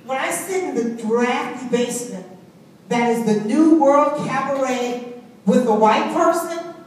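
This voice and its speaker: Spirited young Southern woman, performed live by an actress, slow, emphatic stage storytelling, proud and playful, picked up from far off. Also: high-pitched. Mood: reflective. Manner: theatrical, deliberate, emphatic, expressive. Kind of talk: dramatic monologue performed before an audience. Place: live reading in an echoing indoor venue. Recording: echoey room, distant mic, faint noise.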